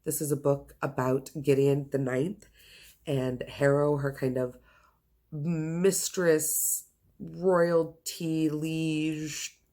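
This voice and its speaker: A woman's voice: low-pitched, little pitch movement, extremely slow, mellow. A woman hosting a casual book recommendation vlog, speaking in an enthusiastic, gushing and emphatic way. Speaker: book reviewer host.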